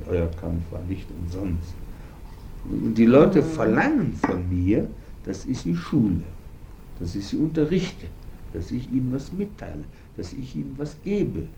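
An actor playing an aging, unrepentant Nazi war criminal, boastful and bitter, rambling haltingly in a gravelly voice. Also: male voice, low voice, dark tone, slight background noise, poor audio. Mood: self-satisfied. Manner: rambling, halting, self-justifying, repeating himself.

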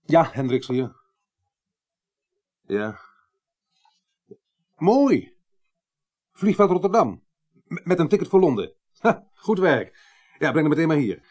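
Dutch police inspector, polite and plain-spoken, businesslike, pitch goes up n down, radio play. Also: male voice, sing-song, slow, pauses often, a little mellow, slightly rough, full character voice. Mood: brisk, pleased. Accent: Netherlands Dutch. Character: courteous, experienced male police inspector, businesslike. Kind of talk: Dutch radio drama acting.

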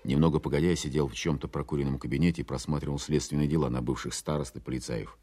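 A man's voice: a bass voice, fairly even intonation, very fast, warm tone. An actor playing a wartime officer who narrates his own story in an understated, confiding way, in a radio drama. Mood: reflective. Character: reflective male wartime counterintelligence captain narrating his story. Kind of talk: first-person dramatised narration.

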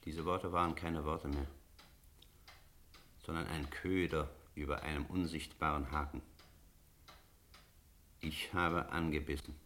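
An actor playing a stern, sceptical village priest in a radio drama, speaking in measured, literary phrases. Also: male voice, extremely low pitch, steady tone, a bit bright, slight background noise. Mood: grave, reflective. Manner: measured, rhetorical, literary diction. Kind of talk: German radio drama acting with narration.

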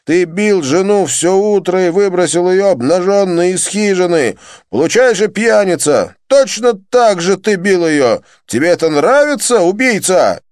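A male narrator voicing an angry young man who loudly condemns a drunk as a murderer, in a dry, close recording. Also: high-pitched, animated, unhurried, dry recording, pitched above the performer's own voice. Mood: condemning. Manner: loud, condemning.

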